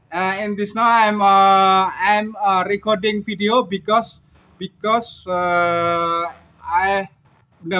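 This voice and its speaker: A man with an Indonesian accent speaking as an amateur radio operator, halting, repetitive and polite as he makes a contact. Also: sits high, bright, forceful tone.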